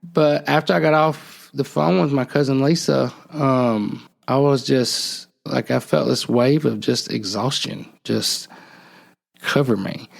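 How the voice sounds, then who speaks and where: A man speaks in a gravelly, creaky voice at a middle pitch. He recounts a personal story on a podcast in a halting, reflective way, often trailing off mid-thought. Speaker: podcast host.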